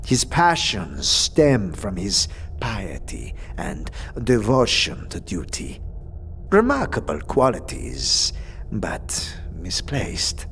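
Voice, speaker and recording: An actor playing a calm, scholarly zealot of death, speaking formally and deliberately in a fantasy audio drama. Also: male voice, mid-range voice, a little hiss or hum. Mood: admiring, reflective. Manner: measured, formal, deliberate pauses.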